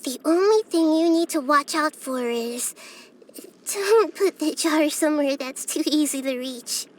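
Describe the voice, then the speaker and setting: A woman voicing a childlike girl god of wisdom for a video game, gentle, curious and thoughtful, speaking softly and carefully. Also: very high-pitched. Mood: earnest, musing. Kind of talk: scripted video game character voice lines.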